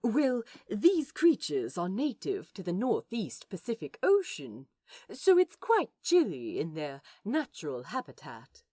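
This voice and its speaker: A woman voicing a gentle, fussy male aquarium guide in a fan fiction audio drama, courteous, teacherly and softly enthusiastic. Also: mid-range voice, wildly swooping pitch, measured pace. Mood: warm, delighted.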